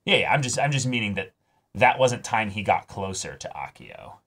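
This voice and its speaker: A man with a fairly low voice talks through his thoughts in a casual reaction show. He is reflective and somewhat halting, with loose, conversational language. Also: very animated, a bit bright. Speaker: host of an anime reaction show.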